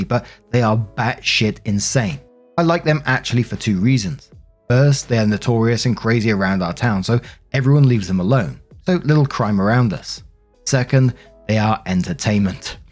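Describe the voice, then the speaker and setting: A man's voice: mid-range voice, darkish tone. A British man narrating online stories aloud in an informal, colloquial way, as a host with casual commentary.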